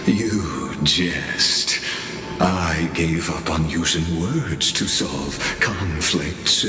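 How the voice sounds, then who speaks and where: An ancient, world-weary warlord from a fantasy game, speaks with extremely low pitch and a crawling, ominous pace. His grave words echo heavily over background music. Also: drawn-out words. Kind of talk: dramatic video game voice acting. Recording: echoey cavernous reverb under music.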